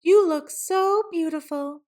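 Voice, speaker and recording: A woman's voice: very high voice, slow, darkish tone, voice pushed up. A woman voicing a caring but insistent, worried mother in a children's storybook. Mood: delighted. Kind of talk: children's storybook read-aloud.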